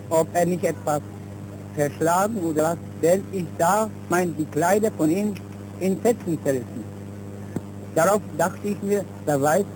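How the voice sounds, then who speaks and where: Middle-aged male witness, formal account for a 1930s newsreel, slightly high voice, noisy humming soundtrack. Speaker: witness giving testimony. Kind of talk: formal personal testimony for a newsreel.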